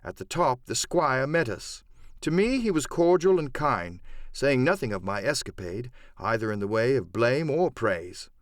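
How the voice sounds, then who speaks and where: A man narrating a classic adventure audiobook in an expressive, dramatic storytelling manner. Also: medium pitch, a little slow, dry recording, clean studio sound. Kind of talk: dramatic scripted literary narration.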